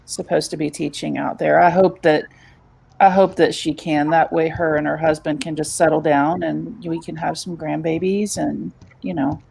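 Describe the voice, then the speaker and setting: A woman in her forties chats casually with friends. Her voice is gravelly and husky, and she rambles a little as she talks. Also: deep voice, flat delivery, normal speed, darkish tone, low-quality recording. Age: 40s. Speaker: painting group member. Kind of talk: casual chat.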